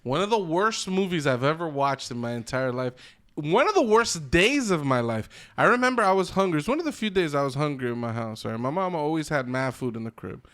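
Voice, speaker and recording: A man's voice: on the high side, big pitch swings, brisk, a bit bright. A man co-hosting a podcast in casual banter, talking in an emphatic, exaggerating, slangy and sweary way. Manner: emphatic, hyperbolic, slangy and profane. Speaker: podcast co-host.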